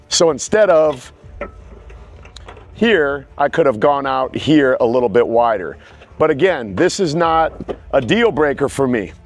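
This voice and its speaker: A man's voice: fairly high pitch, darkish tone. A middle-aged man giving a casual, frank product review of home gym equipment to camera, recorded outdoors in a backyard on a clip-on mic. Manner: plain, frank and explanatory.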